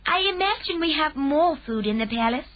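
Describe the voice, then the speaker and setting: A woman's voice: high-pitched, animated, muffled recording, pitched above the performer's own voice. A woman voicing a composed, gracious queen speaking in a raised, poised, proper voice. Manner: poised, measured, proper speech.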